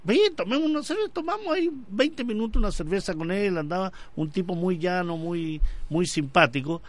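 A man's voice: high voice, animated, normal speed. A Chilean man hosting a conversational radio interview, often repeating words as he searches for a phrase. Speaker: radio show host.

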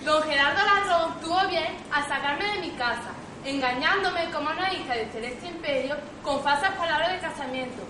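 A woman's voice: high-pitched, moderate pace, few pauses, natural voice. An actress playing a brassy, aggrieved jilted mother in an amateur comic radio play, sarcastic, slangy and defiant. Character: brassy, aggrieved jilted working-class mother, sharp-tongued. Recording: roomy stage recording with loud background noise.

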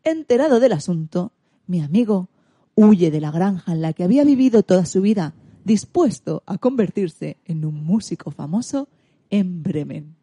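A woman's voice: lowish voice, animated, moderate pace, warm tone. A woman playing a wordy, playful presenter narrating an amateur radio play in a theatrical manner. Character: chatty storyteller presenter who jokes about being late.

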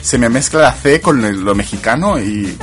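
A man with a Chilean accent co-hosting a casual radio chat, talking in relaxed slang and often laughing. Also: medium pitch, normal speed, music in the background. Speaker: radio co-host.

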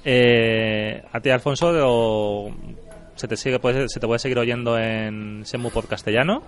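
A man's voice: mid-range voice, steady tone, unhurried. A man from Andalusia hosting a casual podcast, talking pragmatically and rambling with frequent restarts. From Andalusia, Spain. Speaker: podcast host.

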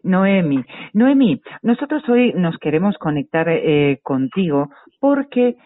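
A woman's voice: on the low side, sing-song, takes it slowly. Informal woman radio interviewer, muffled sound. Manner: informal, frequent listening murmurs. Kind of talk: friendly conversational radio interview.

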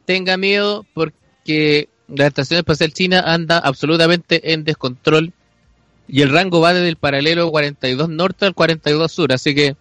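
A Chilean man with a fairly high voice talks as one of a radio panel. His delivery is casual comic banter, with music faintly behind him. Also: steady tone, normal speed, fairly bright tone, no room sound.

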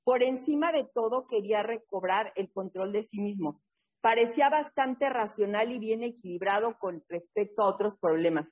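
A woman from New England leading an online recovery workshop, telling stories in a lively, casual way and often checking in with her listeners over a video call. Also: mid-range voice, normal speed, dull audio. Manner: lively, keeps checking in with a tag question. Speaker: recovery workshop leader and sponsor. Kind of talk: casual storytelling talk in an online support meeting.

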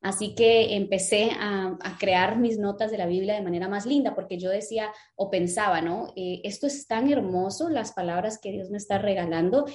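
A woman in her thirties, originally from Guatemala, speaks as an interview guest over what sounds like a call mic. Her voice sits at a middle pitch. She tells her story earnestly and fills her pauses with "eh". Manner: warm faith-filled storytelling with frequent "eh" fillers. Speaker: interview guest, lettering teacher and business owner. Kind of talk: casual interview conversation.

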